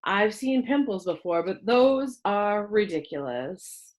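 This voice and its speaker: A woman's voice: mid-range voice, animated, takes it slowly. A woman voicing a big, bossy boy who jeers as leader of a gang in a storybook. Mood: taunting, bossy. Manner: taunting, bossy.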